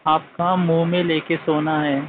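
A man's voice: fairly high pitch, flat delivery, measured pace. A man in a casual phone conversation, insistently asking short questions and repeating them. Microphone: phone call. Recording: tinny phone call with faint noise.

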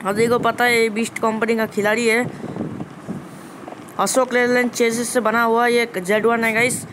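A man's voice: very high-pitched, little pitch movement, moderate pace. A man hosting a gaming channel with casual gameplay commentary, repeating and restarting phrases as he addresses viewers. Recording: noisy, vehicle engine sound behind voice.

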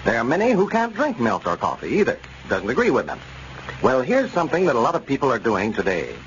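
Male vintage radio announcer, American, very varied intonation, scripted pitch, dull broadcast sound with clear noise. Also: huge pitch swings, fast. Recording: muffled, noisy old radio broadcast.

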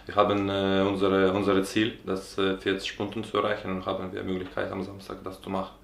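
A man's voice: sits low, completely flat delivery, brisk, creaky. A young man from Ukraine with a Ukrainian accent, a football player answering an interview in hesitant, broken German. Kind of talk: press interview. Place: indoor stadium media area, sponsor wall. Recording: echoey room.

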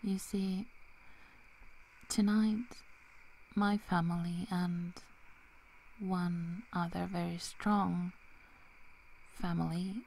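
A woman playing a loyal, protective young werewolf in a whispered ASMR roleplay, speaking softly and haltingly. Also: lowish voice, little pitch movement, creaky, a little hiss or hum, heavily acted, low-quality recording. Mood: reluctant, resigned. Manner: soft, halting, breaking into whispers.

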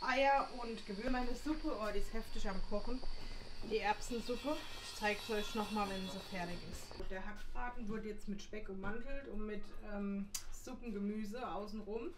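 A woman's voice: medium pitch, moderate pace. A woman in her thirties chatting informally and explaining things in a casual home vlog. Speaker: vlogger. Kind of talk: casual vlog chat while cooking and unboxing. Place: indoors at home, kitchen and living room. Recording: clear background hiss and kitchen noise.

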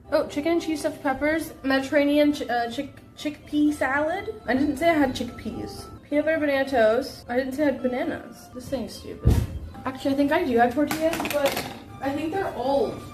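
A woman's voice: sits high, a little fast, over music. A young woman streaming from her home kitchen, chatting casually and reacting dramatically while she cooks. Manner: dramatic, squeamish, exclaiming. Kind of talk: casual livestream chat while cooking.

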